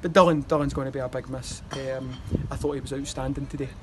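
A man's voice: medium pitch, steady tone, quick pace, background noise. A Scottish man in his thirties, a football manager giving a post-match interview outdoors, talking in rambling runs with fillers and restarts. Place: outdoors by a stadium wall.

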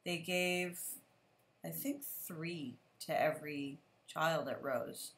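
A woman teacher hosting a casual chat with a guest over a video call, relaxed and chatty. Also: deep voice, slow. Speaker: teacher and show host. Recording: echoey room.

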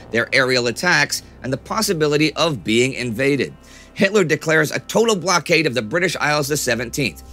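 A man's voice: on the high side, sharp tone. A middle-aged man hosting a history show, reading scripted narration with emphatic, dramatic storytelling delivery. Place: small furnished study set, indoors.

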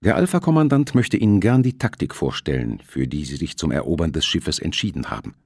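A man's voice: a bass voice, sing-song, a little fast, darkish tone, husky, dry recording, studio quality, exaggerated voice. A man voicing an urgent, businesslike fifty-year-old Russian intelligence officer in an audiobook.

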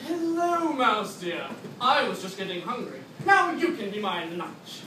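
A performer acting out a hungry, gullible tiger in a children's readers theatre show, with drawn-out, exaggerated delivery. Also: medium pitch, sing-song. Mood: hungry, menacing. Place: classroom, indoors. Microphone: distant camera. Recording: echoey classroom from far, noisy.

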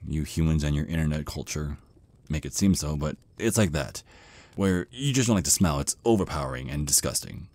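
A man's voice: low-pitched, a little mellow, no echo, lightly acted. A man playing a shy, nervous male vampire in an ASMR roleplay, stammering and hesitating apologetically as he talks. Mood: nervous, chatty. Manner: stammering, hesitant, flustered, rambling. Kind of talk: ASMR roleplay monologue, conversational.

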